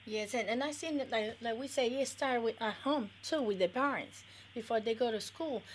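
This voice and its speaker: Middle-aged woman, from the Dominican Republic, radio host, earnest plainspoken chat, a little high, faint hiss behind. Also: normal speed. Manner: earnest, broken English phrasing. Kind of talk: radio talk show chat. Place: radio studio.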